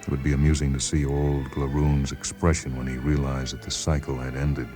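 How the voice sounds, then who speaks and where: A man giving a measured, expressive, dramatic reading of a literary short story. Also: a bass voice, music in the background. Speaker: narrator, short story reader. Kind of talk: dramatic scripted literary narration.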